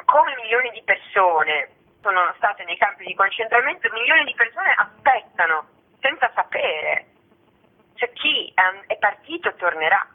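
A woman's voice: medium pitch, very animated, unhurried, husky. Female interview guest, theatre performer, phoned in on a tinny line; unscripted, discursive delivery, frequent rephrasing. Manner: discursive, often rephrasing mid-sentence. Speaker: guest theatre actress and author. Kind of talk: spontaneous radio interview talk. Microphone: telephone. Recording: tinny, narrow-band telephone line.

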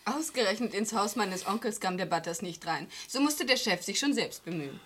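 A woman's voice: medium pitch, expressive, fairly quick, a bit bright, slight background noise, close to an everyday voice. A woman playing a curious young niece in a German crime radio play. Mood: curious, light.

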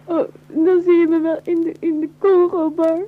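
A woman playing a resigned, despairing girl in a radio play, flat and quietly insistent in her pleading. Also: extremely high pitch, normal speed. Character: resigned despairing girl who wants to die. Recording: tinny phone-like sound, faint noise.

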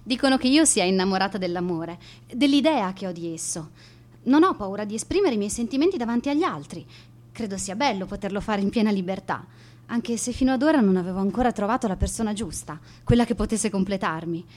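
A woman's voice: mid-range voice, expressive, fast talker, darkish tone, close to an everyday voice. Earnest romantic teenage girl, played by an actress. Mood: dreamy, earnest. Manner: earnest, emotional. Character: romantic, sincere teenage schoolgirl, hurt but insistent. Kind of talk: radio drama acting.

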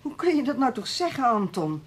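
An actress playing an indignant, high-principled newspaper journalist in a Dutch radio play, emphatic and challenging, with a Netherlands accent. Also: female voice, sing-song, brisk, slight background noise. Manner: emphatic, challenging, rhetorical questions.

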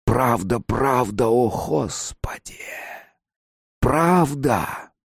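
A male narrator gives voice to a mass of monstrous people in a horror story. They plead and urge with slow, incantatory fervour, drawing out each exclamation in a dry space. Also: medium pitch, animated, breathy edge, no room sound, voice pushed up, exaggerated voice. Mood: anguished pleading. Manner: incantatory, exclaiming in drawn-out phrases. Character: fused crowd of monster people, fervent, pleading, chanting.

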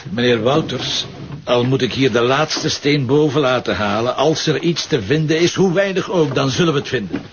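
A man's voice: mid-range voice, animated, moderate pace, lightly acted. Man playing stubborn police detective, Flemish accent, sardonic, dry, radio crime drama, dull recording, faint noise. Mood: dogged, pointed. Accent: Flemish Belgian. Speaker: voice actor in a detective serial.

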